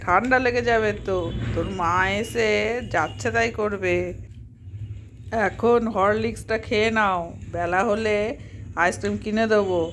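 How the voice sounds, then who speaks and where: A woman playing a practical father who coaxes and gently scolds children in a doll-play story. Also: mid-range voice, fairly even intonation, unhurried, pauses often, close to an everyday voice. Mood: gently scolding. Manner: coaxing, gently scolding, repetitive. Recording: faint background noise.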